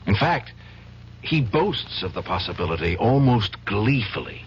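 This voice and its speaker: A troubled young lawyer. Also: male voice, fairly low pitch, very animated, a little slow. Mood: earnest. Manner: hesitant, stammering, emotionally charged. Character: anxious young male defense lawyer, troubled and tense. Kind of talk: 1970s radio drama acting. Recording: dull old radio broadcast, faint hiss.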